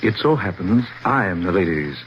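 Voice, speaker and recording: An actor playing a playful, mock-gallant young gentleman in a 1940s radio drama. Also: deep voice, lively intonation, moderate pace. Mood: teasing. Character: playful, teasing British young gentleman suitor. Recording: dull, hissy 1940s radio recording.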